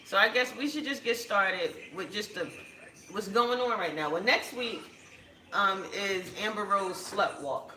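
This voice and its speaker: A young woman co-hosting a casual talk show, her voice hoarse and cracking, chatting with many fillers and restarts. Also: mid-range voice, a little slow, sharp tone, roomy sound, a little hiss or hum, rough recording. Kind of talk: casual chat.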